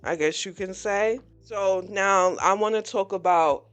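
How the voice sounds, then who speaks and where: A podcast host in their forties delivers a personal monologue at an unhurried, deliberate pace. The talk is frank and blunt, openly venting irritation while working through it. Quiet music sits faintly behind the voice. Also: mid-range voice, clean tone. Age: 40s. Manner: candid, blunt, vents frustration openly.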